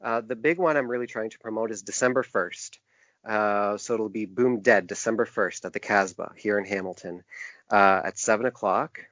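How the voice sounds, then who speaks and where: A man being interviewed as a guest, talking casually in a thoughtful, halting way and explaining things in detail. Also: fairly low pitch, a bit bright, dry recording. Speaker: podcast guest, author and indie publisher. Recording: dull, dry lo-fi podcast audio.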